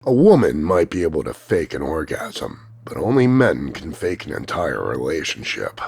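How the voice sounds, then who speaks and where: Gravelly, cocky macho action hero. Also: male voice, very deep voice, dry recording, studio quality, heavily acted. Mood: deadpan, mocking. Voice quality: gravelly, husky. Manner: deadpan, smug, drawn-out delivery of punchlines. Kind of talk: voice-over demo of insults and one-liners.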